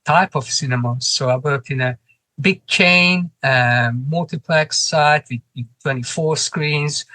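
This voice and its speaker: A man from Hungary with a Hungarian accent, answering interview questions in English as a guest, often pausing and restarting his phrases. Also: a little high, pushed and bright, no room sound. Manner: halting, restarts phrases, non-native grammar. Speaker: cinema manager, guest.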